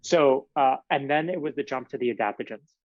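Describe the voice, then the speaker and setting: A man whose first language is Italian talks as a podcast guest, at a middle pitch, explaining earnestly and piling up fillers and restarts. The sound is dry and a little dull, like a modest lo-fi setup. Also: a little fast, no room sound. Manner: earnest, explains in long hedged runs with fillers. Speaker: naturopathic physician guest. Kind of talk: casual podcast interview.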